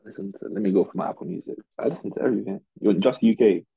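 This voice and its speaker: A man's voice: fairly low pitch, racing, vocal fry, breathy edge, no echo, phone-quality sound. A British man, a podcast guest, chatting casually in a playful, teasing way and using lots of slang. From United Kingdom. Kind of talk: casual chat.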